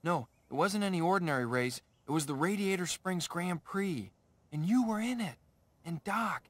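Lively cocky young race car. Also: male voice, sits high, normal speed, no room sound. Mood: eager. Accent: American. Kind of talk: animated video game acting.

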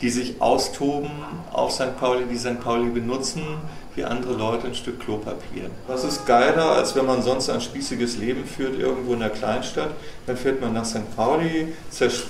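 A man's voice: medium pitch, steady tone, moderate pace, gravelly, hardly any pauses. Man talking in an echoey space. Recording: echoey space, faint ambient noise.

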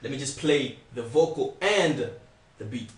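A young man giving a casual step-by-step software tutorial, speaking informally and repeating his instructions. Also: mid-range voice, expressive, a little slow. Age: young adult. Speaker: tutorial presenter. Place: small indoor room, not soundproof. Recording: echoey untreated room, distant mic.

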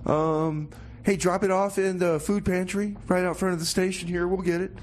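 A man hosting a community radio show with casual comedic patter, speaking in a halting, folksy, mock-earnest way. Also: sits high, little pitch movement, slight background noise.

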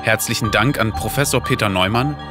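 A man's voice: lowish voice, a little fast, over music. A man reading scripted credits as the announcer of an audio story.

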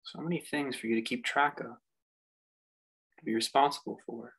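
Male meditation guide, gravelly and husky, contemplative delivery with lots of space between phrases. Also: medium pitch, steady tone, fairly bright tone, rough recording. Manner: unhurried, reflective delivery with long pauses. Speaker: meditation teacher.

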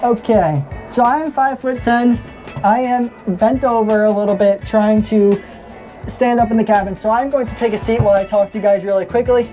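A young man filming a walkaround boat review at arm's length in a large indoor boat show hall, talking with steady enthusiasm. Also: high voice. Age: young adult. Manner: enthusiastic, upbeat. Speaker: boat vlogger. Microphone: handheld camera at arm's length.

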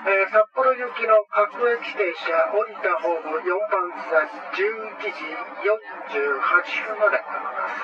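A man's voice: high-pitched, measured pace, hardly any pauses. A man working as a train conductor, giving live connection announcements in a routine way. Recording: muffled, distant, very noisy train speakers. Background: loud diesel train engine noise.

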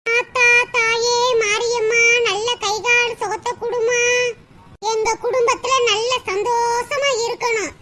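Brief: a woman doing a bossy, whiny older mother-in-law, very high-pitched and unhurried, in a comic sketch. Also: fairly even intonation, background noise. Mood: pleading, bossy.

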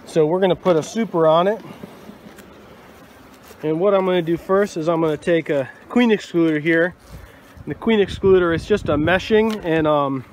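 A man in his thirties, a beekeeper, explaining things in a relaxed, casual way to a phone held at arm's length outdoors. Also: sits high. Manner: relaxed explanatory talk with fillers. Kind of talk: casual instructional vlog. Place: outdoors at a bee yard. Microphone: phone camera at arm's length. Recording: faint outdoor noise.

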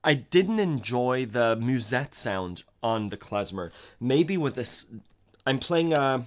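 A man's voice: mid-range voice, animated, slow pace. A man in his thirties teaching accordion on a livestream from a home music room, thinking aloud and scatting melodies. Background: accordion playing.

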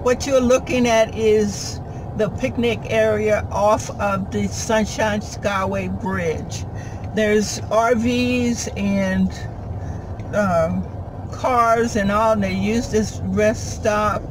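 A woman vlogger narrating an unscripted driving tour in a halting, deliberate, informative way from inside a moving car. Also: medium pitch, fairly even intonation. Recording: loud car and road noise.

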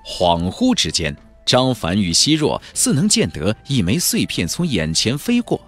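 A man narrating a fantasy audiobook in a measured, theatrical storytelling delivery. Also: medium pitch, wildly swooping pitch, soft background music. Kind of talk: dramatic scripted narration of a fantasy novel.

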